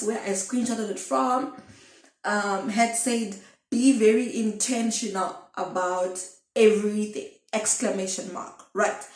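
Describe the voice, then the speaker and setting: An echoey room surrounds a young woman with a mid-pitched voice. She talks casually to camera in a confessional vlog style, thinking aloud and wandering from one thought to the next. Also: darkish tone. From Zimbabwe. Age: twenties. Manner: rambling, thinking aloud, self-questioning. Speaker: video blogger.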